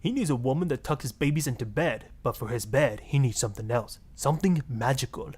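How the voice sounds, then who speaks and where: A man's voice: mid-range voice, fairly quick, darkish tone, husky, close to an everyday voice. A man voicing a suave, sleazy marriage guru with a Spanish accent, florid, seductive and pompous, in a satirical game radio style. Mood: seductive, preachy. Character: suave, sleazy Latin male marriage guru, grandly passionate.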